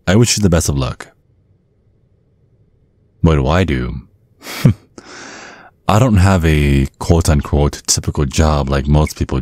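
A man's voice: a bass voice, darkish tone, no room sound, studio quality. A man playing a centuries-old vampire father in an ASMR roleplay, mock-polite and condescending with a sly threat underneath. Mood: sly menace. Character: menacing, genteel centuries-old vampire father sizing up suitor. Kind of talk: ASMR roleplay, scripted monologue.

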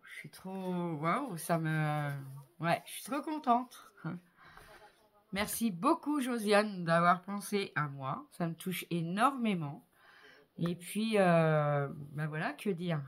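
A woman in her fifties chatting casually to viewers as a craft vlogger, effusive and appreciative, exclaiming often and repeating words haltingly, recorded on a phone indoors at home. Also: mid-range voice, expressive, fairly bright tone. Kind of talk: casual unboxing chat to viewers. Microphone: phone on a tripod at arm's length.

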